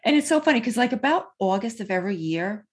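A woman in her fifties hosting an interview, chatty and quick to laugh. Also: fairly high pitch, lively intonation, breathy edge. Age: 50s. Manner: warm, chatty, laughs often. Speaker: podcast host. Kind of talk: interview conversation.

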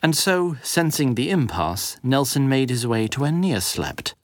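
A man's voice: mid-range voice, sing-song, unhurried, a little hiss or hum. A man reading scripted narration as the narrator of an audio drama.

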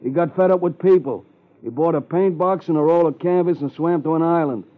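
An American actor playing a brash, evasive, sarcastic boxing trainer with a streetwise manner in a 1950s radio drama. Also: male voice, fairly high pitch, fairly quick, close to an everyday voice. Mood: mocking, sly. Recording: muffled old 1950s radio recording.

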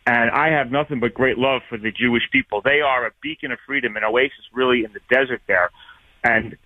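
A man's voice: medium pitch, steady tone, no echo. A man with a New York accent calls in over a thin, tinny phone line. He speaks earnestly, picking his words with many fillers. The sound is dry and boxed in. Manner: earnest, wordy, halting with fillers. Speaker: caller. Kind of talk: call-in opinion.

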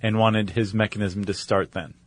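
A man's voice: lowish voice, steady tone, moderate pace. A man co-hosting a podcast, explaining things in a casual, conversational way with little check-in questions. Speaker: podcast co-host.

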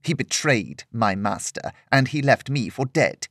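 A man voicing a stern, proud older female sword mistress in a fantasy audiobook, speaking in a measured, dignified way. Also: mid-range voice, animated, fairly bright tone, no echo, studio quality.

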